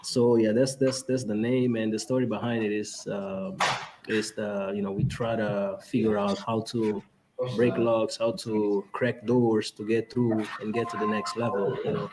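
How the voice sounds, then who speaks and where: A man from Angola with an Angolan Portuguese accent, hosting a podcast and talking in a halting way with many fillers and restarts. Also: on the low side, flat delivery, noisy background. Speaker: podcast host. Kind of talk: casual conference talk.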